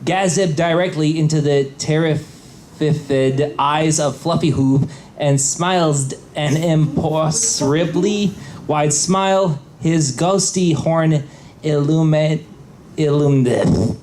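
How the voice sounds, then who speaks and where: A man reading a story aloud haltingly as a panelist at a live event. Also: on the high side, a little mellow. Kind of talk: halting live read-aloud of comic fan fiction. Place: convention panel room. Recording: faint room noise.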